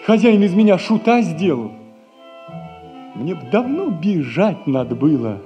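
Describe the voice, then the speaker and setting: An aggrieved, pleading young clerk; higher voice, old stage radio play, music underneath. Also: male voice, big pitch swings, a little slow, mellow. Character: poor honest young clerk, lovesick and self-pitying. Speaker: stage actor. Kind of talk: 1960s theatre radio play.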